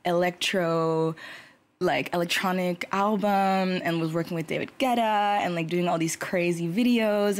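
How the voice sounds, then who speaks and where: A woman's voice: low voice, darkish tone. A woman from Brazil, a Portuguese speaker, answering interview questions in a rambling way full of fillers. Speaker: musician guest.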